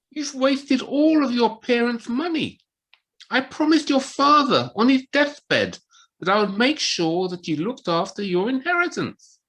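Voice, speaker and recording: A woman voicing a gruff, bossy older badger who scolds with authority in an audio play. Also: a little high, fairly bright tone, exaggerated voice. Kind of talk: community audio play acting. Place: living room, recorded over video call.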